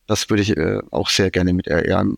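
A fairly low-voiced man with a Franconian German accent talks as a guest in an interview, explaining at length and filling his pauses with ums. His voice is recorded close and dry, with no room sound. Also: steady tone, vocal fry, no echo. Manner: explains at length with frequent fillers. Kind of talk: casual business interview.

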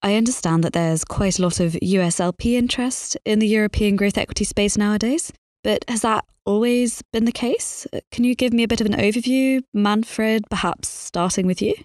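A woman's voice: mid-range voice, normal speed, darkish tone, no echo, studio quality. A woman hosting a business podcast, putting polite, structured questions to her guests. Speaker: podcast host, interviewer.

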